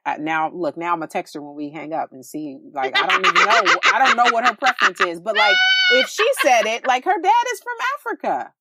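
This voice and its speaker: A woman's voice: mid-range voice, huge pitch swings, brisk, vocal fry, hardly any pauses, very noisy. A middle-aged woman from California who hosts a podcast and talks in loose, rambling chat full of "like"s and restarts, laughing often. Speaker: podcast host, relationship coach. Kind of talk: casual conversation.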